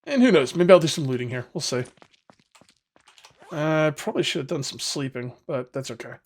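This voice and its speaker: Man, gameplay host, hoarse voice going, rambling, chatty with viewers, slightly high voice. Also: expressive, normal speed. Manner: rambling, self-correcting, talks to viewers. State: losing his voice. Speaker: gaming video host. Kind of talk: casual let's-play commentary.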